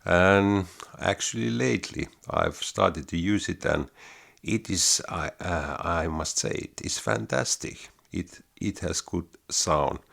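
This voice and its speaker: A man speaks in a very deep, gravelly soft-bass voice, slowly and haltingly, pausing on many fillers. He sounds close and dry on a studio mic in a small home studio. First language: Finnish. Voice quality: very low baritone voice. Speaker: home-studio hobbyist, channel host. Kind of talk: casual gear demo vlog. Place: small home studio room under a sloped ceiling. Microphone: studio mic close up, headphones on.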